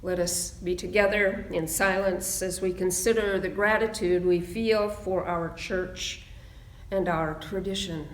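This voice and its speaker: A woman minister leading a reflective prayer and meditation in a solemn, measured way with deliberate phrasing. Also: fairly low pitch, steady tone. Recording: roomy, echoing sound.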